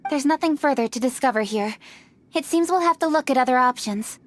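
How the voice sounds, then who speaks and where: A woman's voice: high voice, animated, slight background noise. A woman voicing a composed, decisive young official who speaks formally in a game cutscene. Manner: formal, measured. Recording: game cutscene with faint music.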